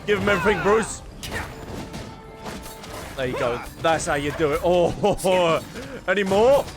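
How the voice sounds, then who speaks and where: A man giving casual, slangy gameplay commentary as a streamer, excitable and talking to the game characters. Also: high voice, a little slow, music in the background. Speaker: streamer, gamer.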